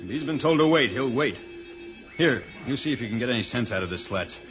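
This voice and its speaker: Casting: male actor as steady, earnest spaceship leader, mid-pitched, 1950s-style radio serial, muffled recording with music behind. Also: a little fast, natural voice. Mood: focused, calm. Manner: decisive, explanatory. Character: earnest, level-headed adult male space hero and leader. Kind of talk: old-time radio serial acting.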